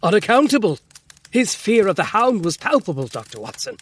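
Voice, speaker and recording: Excitable doctor gent, kinda high voice, formal and dramatic, audio drama style. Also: male voice, a little fast, breathy edge, exaggerated voice. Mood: troubled, insistent. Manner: formal, emphatic, dramatic storytelling. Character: earnest, excitable gentleman doctor recounting a mysterious death. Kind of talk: full-cast audio drama acting.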